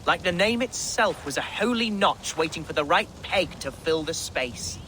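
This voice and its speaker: A man's voice: on the high side, expressive, moderate pace, pushed and bright, slight background noise, low-quality recording. An actor playing an earnest, nervous young Saxon nobleman with flowery speech in a video game. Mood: self-important. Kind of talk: video game cutscene acting.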